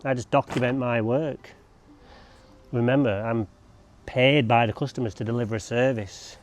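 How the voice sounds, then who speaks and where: A man's voice: mid-range voice, steady tone, moderate pace. Rambling guy working a lawn. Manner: rambling, joking asides to camera. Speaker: lawn care vlogger. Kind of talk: casual chat while working. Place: outdoors on a lawn.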